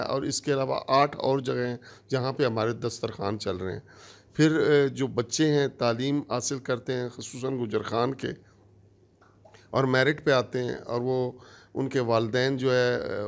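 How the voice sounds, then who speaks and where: Middle-aged man, gravelly voice, plain mid pitch, lists stuff one by one into a handheld mic, conference room. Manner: steady, listing items one by one. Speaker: company operations manager. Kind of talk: formal introductory speech.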